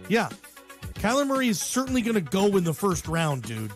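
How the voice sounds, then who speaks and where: High-pitched American sports radio guy. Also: sing-song, brisk, soft background music. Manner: conversational, opinionated, slangy, emphatic repetition. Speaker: sports talk radio host. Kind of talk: casual sports radio commentary. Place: radio show.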